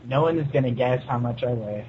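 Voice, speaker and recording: Young male podcast co-host, average-pitched voice, casual banter, dull recording with faint noise. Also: fairly quick.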